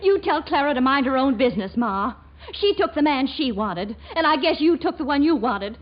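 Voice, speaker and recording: A woman's voice: sits high, animated, normal speed. A woman playing an adoring, headstrong young sweetheart in a 1940s radio comedy, with an earnest manner. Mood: defiant. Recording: dull 1940s radio recording.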